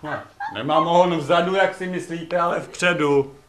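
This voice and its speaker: Impudent, witty slave for stage comedy male actor; forceful, highish voice, declaimed in an echoey theatre. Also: steady tone, far from the mic, lightly acted. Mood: wry, unfazed. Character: ugly, witty, impudent slave storyteller Aesop.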